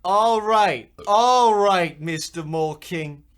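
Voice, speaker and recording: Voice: medium pitch, slow pace, a bit bright. An actor playing a cocky, scheming, trigger-happy young boy with a folksy tough-talking manner in a comedy radio play. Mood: boastful, bossy.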